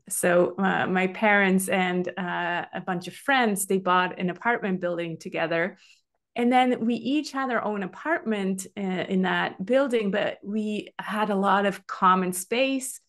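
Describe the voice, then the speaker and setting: A woman's voice: mid-range voice, unhurried. A middle-aged woman from Switzerland, a guest telling her story in a casual conversation on a video call, from a room at home. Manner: chatty, open storytelling with frequent fillers. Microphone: webcam on a video call.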